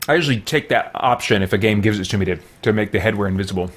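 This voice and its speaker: A man's voice: fairly low pitch, rapid-fire, a bit bright. Casual man thinking aloud as he games. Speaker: gamer playing and commenting on a role-playing game. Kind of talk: casual let's play commentary.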